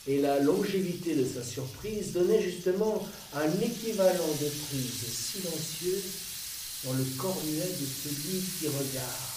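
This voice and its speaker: A man reciting a poetic dramatic monologue in a measured, deliberate way, with pauses between phrases. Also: a little high. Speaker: monologue performer. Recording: roomy, distant, noisy with water-like hiss.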